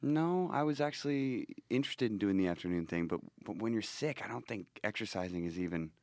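A man's voice: on the low side, animated, a little fast, a little mellow, no room sound, clean studio sound. A man hosting a podcast in casual chat, a bit nasal from a cold, rambling and restarting his sentences with jokes. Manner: rambling, self-interrupting, joking. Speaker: podcast host.

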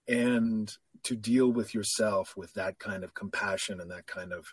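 Husky-voiced middle-aged man, reflective. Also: fairly low pitch, a little slow, no echo, studio quality. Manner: reflective, candid, self-deprecating. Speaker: podcast guest and coach. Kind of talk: casual conversation.

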